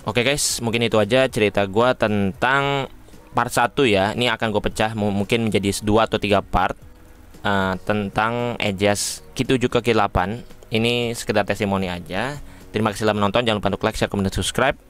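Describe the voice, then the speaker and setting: A man's voice: lowish voice, fairly even intonation, fairly quick, a bit bright, clear, resonant tone. A man talks like a motovlogger doing a casual unboxing. He addresses viewers informally as friends. Music plays faintly behind him. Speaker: motovlogger reviewing gear. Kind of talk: casual unboxing and review chat.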